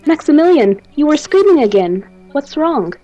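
Radio play villainess female actor: obsessive scientist, theatrical formality, soft dark tone, muffled home recording. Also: high-pitched, very animated, normal speed, soft background music. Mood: doting, soothing. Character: imperious, obsessive mad woman scientist, doting and cold. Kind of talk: gothic radio play acting.

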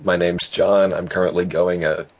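A man's voice: deep voice, little pitch movement, moderate pace, a little mellow, husky, highs cut off. A man from Rhode Island, a player at a tabletop game, talking dryly with some hesitation. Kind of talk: casual chat at a game table.

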